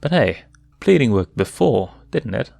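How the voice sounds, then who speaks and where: A man's voice: medium pitch, sing-song, measured pace, gravelly, a little mellow, dry recording, soft background music. A man narrating, reading a written animal story aloud in a dramatic storytelling style.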